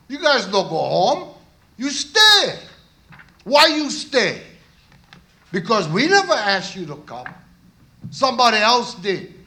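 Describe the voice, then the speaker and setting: An older man with a local Hawaiian accent speaks as an activist at a public meeting. His voice is high for a man and has a sharp, bright edge. He talks confrontationally, pressing his point with blunt questions. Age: 60s. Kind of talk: impassioned public testimony.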